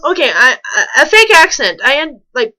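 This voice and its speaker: A woman's voice: high voice, sing-song, moderate pace, pushed and bright. A woman reading fan fiction aloud with sarcastic, incredulous casual commentary, stumbling over the text now and then.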